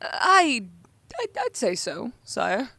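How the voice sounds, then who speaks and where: A woman's voice: a little high, big pitch swings, slow pace, vocal fry, sharp tone, no echo, heavily acted. A woman voicing an anxious, bookish young nobleman who speaks formally and gets flustered.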